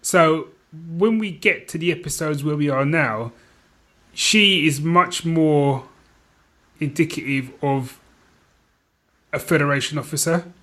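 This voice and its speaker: British male co-host, somewhat high bright voice, casual conversational delivery. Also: slow, pauses often. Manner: informal, checks in with co-host. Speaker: podcast co-host. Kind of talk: casual chat.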